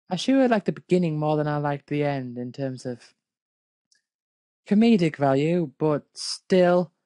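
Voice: medium pitch, expressive, takes it slowly, pauses often, dark tone, dry recording. A person reading fan fiction aloud casually, with hesitant, dry critical asides. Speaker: fanfic reader and commentator.

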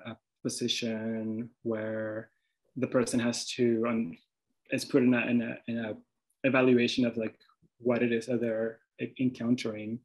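A man's voice: mid-range voice, darkish tone, low-quality recording. A man from Venezuela with a Venezuelan accent, an artist presenting his work over slides, hesitant, with many restarts and fillers.

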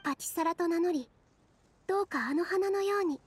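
アニメ調ゲームで、女性声優が演じる若い森の妖精。柔らかく優しい、ひたむきな話し方。. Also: female voice, extremely high pitch, brisk, a little hiss or hum. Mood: solemn, blessing. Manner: soft, gentle, earnest. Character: gentle young female forest fairy, kind and earnest. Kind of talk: anime video game voice acting.

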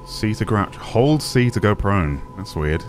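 A young man with a deep voice giving casual gameplay commentary as a streamer, relaxed with excited asides. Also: measured pace, a little hiss or hum. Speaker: gaming streamer.

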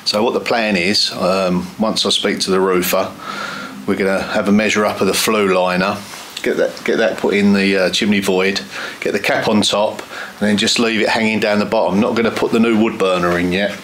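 A man in his fifties talks through his renovation plans in a relaxed, rambling way. His voice sits slightly low but sounds bright and forward. A faint hiss of ambience hangs behind him in the stone room. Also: normal speed. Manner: chatty, explains plans step by step with fillers. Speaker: vlogger renovating a stone cottage. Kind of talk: casual walkthrough vlog. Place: inside a cluttered stone outbuilding.

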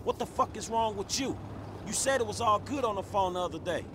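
A man's voice: sits high, animated, brisk, background noise. An actor playing a blunt, exasperated, streetwise young man in a video game cutscene, talking in profane slang.

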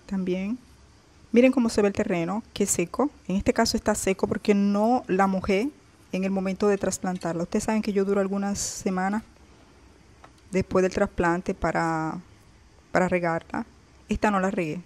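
A woman's voice: medium pitch, steady tone, normal speed, a little mellow. A woman hosting a casual gardening vlog, chatting straight to her viewers and pointing things out as she films her plants outdoors on a balcony. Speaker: gardening vlogger.

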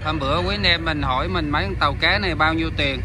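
A man, casually narrating a walking tour of a fishing harbor with many filler pauses. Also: fairly high pitch. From Vietnam. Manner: chatty, many filler "à" sounds, addresses viewers as brothers. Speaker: fishing vlogger. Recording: loud outdoor harbor noise.

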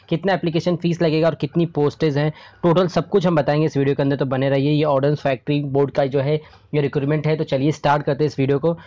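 Male Indian explainer host, slightly high voice, informal and repetitive, talking straight to viewers as friends. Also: a little mellow, highs cut off. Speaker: host of a government job news channel. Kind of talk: informal explainer narration over a screen recording.

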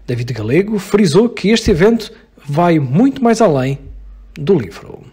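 A man's voice: sits high, measured pace, breathy edge. A male reporter with a European Portuguese accent reading a scripted news voice-over. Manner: lively scripted read.

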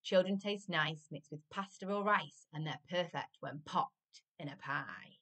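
A woman's voice: deep voice, a little slow, fairly bright tone. A woman voicing a grumpy, child-gobbling male giant from a storybook, chanting emphatically in rhyme. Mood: menacing, hungry. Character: grumpy, gobbling male giant who softens when praised.

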